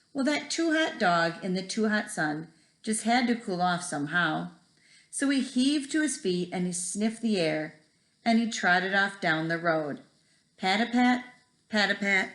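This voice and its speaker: A woman's voice: medium pitch, a bit bright. A woman reading a children's picture book aloud expressively, with a rhythmic delivery that draws out repeated words. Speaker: read-aloud storyteller. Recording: echoey room.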